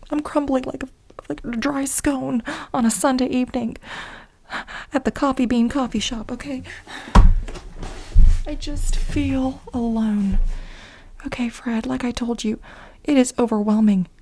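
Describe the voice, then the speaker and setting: A woman playing an anxious, needy lab researcher in a soft-spoken ASMR role play, breathy and often slipping into a whisper, halting and rambling. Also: fairly high pitch. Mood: anxious, pleading, then overwhelmed, confused. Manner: halting, rambling, emotional, repeats herself.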